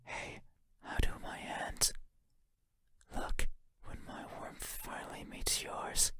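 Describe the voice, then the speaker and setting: A man voicing a lonely, curious shadow creature in a horror game, speaking in a breathy, halting, intimate whisper that is softly insistent. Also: close to an everyday voice. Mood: pleading, menacing. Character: eerie wandering shadow creature, lonely, curious, longing to be seen.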